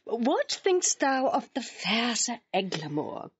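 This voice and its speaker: Casting: inquisitive young noblewoman, highish breathy voice, classical romantic comedy. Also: big pitch swings, slow, lo-fi sound, heavily acted. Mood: curious. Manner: theatrical, inquisitive. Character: young lady, curious and eager about love. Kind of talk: classical Shakespearean audio drama acting.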